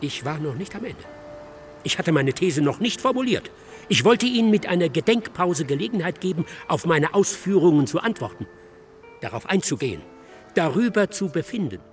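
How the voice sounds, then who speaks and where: A needling, high-voiced pedantic man. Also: sing-song, normal speed, soft background music. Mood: impatient sarcasm. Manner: sarcastic, needling. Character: impatient, sarcastic male discussion partner, self-important and pedantic. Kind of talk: German radio play acting.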